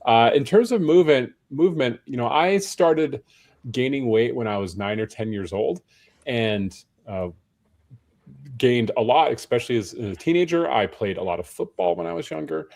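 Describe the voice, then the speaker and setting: A man with a rather low voice, hoarse and tired from long hours of talking. He chats casually as a panel guest, self-deprecating and prone to restarting his sentences. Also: darkish tone. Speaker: panel guest, personal trainer.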